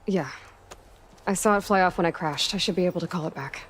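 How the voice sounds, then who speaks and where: An actress playing a determined, pragmatic young woman adventurer in a video game cutscene, measured and matter-of-fact. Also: fairly low pitch, a little hiss or hum, close to an everyday voice. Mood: practical, calm.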